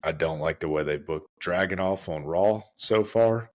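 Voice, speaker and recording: A man co-hosting a podcast in casual chat, emphatic and stumbling over restarts as he rants. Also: unhurried. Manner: emphatic, full of restarts and stammers; swings up high when worked up. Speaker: podcast co-host.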